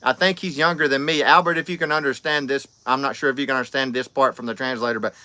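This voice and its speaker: A man's voice: mid-range voice, fairly even intonation, fast, bright, forceful tone, no room sound. A man, chatting casually on a livestream and reading out comments, rambling and friendly with lots of fillers and restarts. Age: 30s. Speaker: livestream host.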